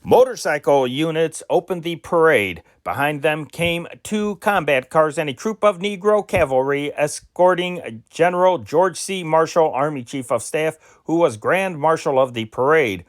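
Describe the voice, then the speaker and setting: A man reading old newspaper dispatches aloud as an amateur newsreader, steady and deliberate, with occasional slips he corrects. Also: fairly high pitch.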